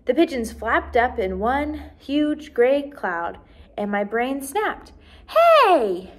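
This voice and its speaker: A young woman narrates a children's chapter book for first graders. Her voice sits a little high, and she tells the story expressively at a measured, easy-to-follow rhythm. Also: animated. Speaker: teacher reading aloud to first graders. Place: small decorated indoor room.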